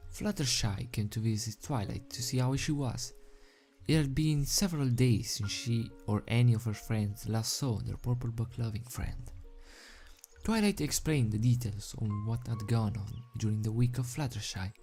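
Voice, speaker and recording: A man narrates a fan fiction story in a dramatic amateur style. His voice sits at a medium pitch, he now and then trips on phrases, and music plays underneath. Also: moderate pace, a little mellow. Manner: stumbles over words and rereads phrases.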